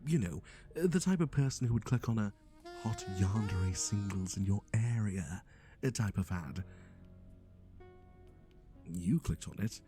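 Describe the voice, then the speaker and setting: Guy doing a sketchy chatty matchmaker dude, asmr roleplay, theatrical and sardonic, lowish voice, bit of music behind. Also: lively intonation, unhurried, long pauses, mellow. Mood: sardonic, insistent. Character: chatty, nervously cheerful, shady male yandere matchmaker.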